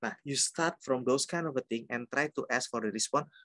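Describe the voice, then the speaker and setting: A man's voice: mid-range voice, a little fast, fairly bright tone, no echo. A man from Indonesia with an Indonesian accent giving an informal, jokey lecture, mixing some Indonesian words into his English. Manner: chatty and jokey, with acted-out quotes and asides. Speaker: university lecturer.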